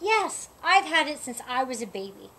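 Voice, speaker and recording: A woman voicing an excited, cheerful young girl hippo in a storybook, exclaiming eagerly. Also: high voice, normal speed, exaggerated voice. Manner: exuberant, exclaims and repeats words eagerly.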